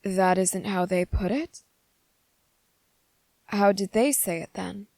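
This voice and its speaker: Woman playing a lovesick male vampire, possessive, brooding, slow and deliberate, tender coaxing, close dry sound, ASMR roleplay. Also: mid-range voice, gravelly, a little mellow, dry recording. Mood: concerned, tender. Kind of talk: ASMR character roleplay, intimate scripted acting.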